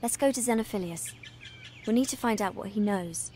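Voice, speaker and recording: A woman's voice: medium pitch, brisk, warm tone, slight background noise, lightly acted. A woman playing an earnest, studious young witch in a video game, speaking articulately and thoughtfully. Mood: determined. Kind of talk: video game dialogue and storytelling.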